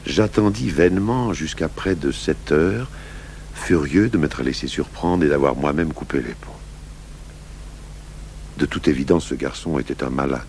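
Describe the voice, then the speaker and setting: An actor playing a calm, shrewd middle-aged police commissioner in a radio crime drama, speaking in a measured, deliberate, probing way. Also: male voice, rumbling low. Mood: reflective, grave. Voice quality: gravelly. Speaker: lead detective and first-person narrator. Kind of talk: 1980s French radio crime drama acting. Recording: old radio broadcast recording, faint hum and hiss.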